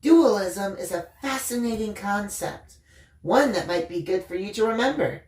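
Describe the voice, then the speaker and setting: A woman's voice: medium pitch, animated, normal speed, a little mellow, reverberant, natural voice. A woman voicing a serene, enigmatic, bookish young woman in audiobook dialogue. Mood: serene, cryptic.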